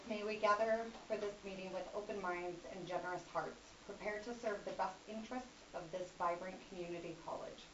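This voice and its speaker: A woman leading a solemn invocation at a college board meeting. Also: lowish voice. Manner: solemn, measured. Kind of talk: prayer and pledge recitation. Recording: echoey dull noisy meeting recording.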